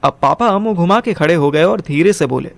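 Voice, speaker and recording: A man's voice: a little high, expressive, a little fast, vocal fry, dry recording. A young man narrating a first-person audio drama in colloquial Hindi mixed with English words. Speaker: narrator and lead character.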